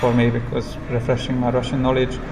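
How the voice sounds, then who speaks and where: A man who speaks English as a non-native language, telling travel stories as a guest in a casual interview, in simple, halting, reflective phrases. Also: mid-range voice, monotone. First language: not English. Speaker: interview guest, long-term hitchhiking traveller. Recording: clear background ambient noise.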